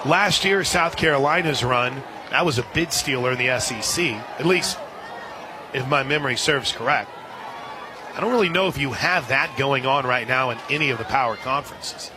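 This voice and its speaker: A man doing live radio play-by-play of a softball game, slipping conversational asides in between pitch calls. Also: normal speed. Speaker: play-by-play announcer. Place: outdoor softball stadium broadcast booth. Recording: loud crowd and outdoor stadium noise. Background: crowd noise.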